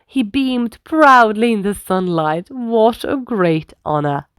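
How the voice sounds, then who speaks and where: Female storyteller for children's picture book: expressive, carefully phrased, very lively intonation, dry close recording. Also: mid-range voice, slow pace, smooth, no room sound. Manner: careful, animated phrasing for young listeners. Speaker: storyteller reading aloud.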